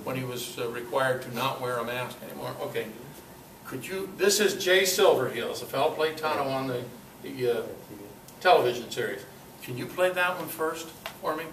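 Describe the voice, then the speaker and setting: An older man giving an informal club talk, halting often and adding conversational asides. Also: medium pitch, a bit bright. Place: club meeting room. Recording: echoey noisy room, distant mic.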